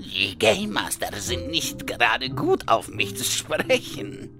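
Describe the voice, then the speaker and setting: A performer playing a cheeky, hot-headed male slacker adventurer, mocking and excitable, in a comedy audio drama. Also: medium pitch, slow, gravelly, over music, exaggerated voice. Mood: mocking, impatient. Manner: mocking, hesitant then shouting.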